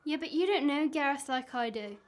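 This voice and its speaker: A woman plays a worried, weary wife in an amateur radio play. Her voice is high and she sounds resigned to her troubles. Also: expressive, a little fast.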